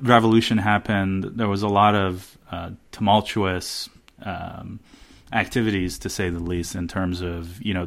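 Low-voiced male podcast host. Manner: hesitant questions with fillers. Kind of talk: interview.

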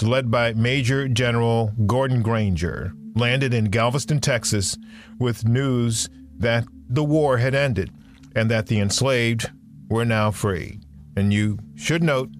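A man hosting a talk podcast, his voice at an ordinary middle pitch. He speaks in a measured, deliberate way, with soft music under him. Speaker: podcast host.

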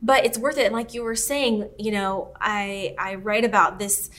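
A woman's voice: mid-range voice, moderate pace. A woman who writes books, chatting as a podcast guest and retelling a story with acted-out quotes and lots of "like". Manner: animated storytelling, acts out quoted lines, many "like" fillers. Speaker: guest author. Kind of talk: casual podcast chat.

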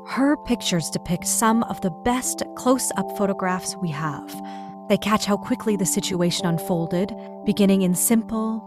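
An actress playing a composed museum exhibit narrator, speaking in a measured, formal theatrical style. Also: female voice, mid-range voice, lightly acted.

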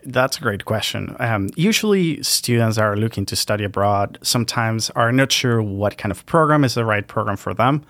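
A man from Venezuela working as a university recruiter, answering questions in a polite, measured way. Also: fairly low pitch, lively intonation, fairly bright tone, dry recording. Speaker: international recruitment officer. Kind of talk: guest answering interview questions.

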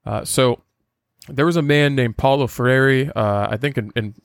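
Man, Portuguese first language, mid-pitched, earnest lecturing podcast host, dry close-mic sound. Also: unhurried, vocal fry, no echo.

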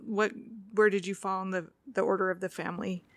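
A woman's voice: mid-range voice, level tone, brisk, no echo. A woman interviewing a guest, asking curious questions and encouraging him as he talks. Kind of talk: casual interview.

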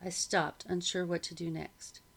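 Low, creaky-voiced woman reading a story. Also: level tone, a bit bright. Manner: measured read-aloud delivery. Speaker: audiobook reader. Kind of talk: scripted story narration.

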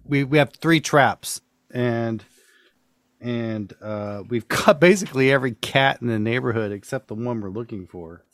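A man hosting a podcast in casual chat, telling things with drawn-out emphasis. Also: mid-range voice, fairly bright tone, dry recording. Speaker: podcast host.

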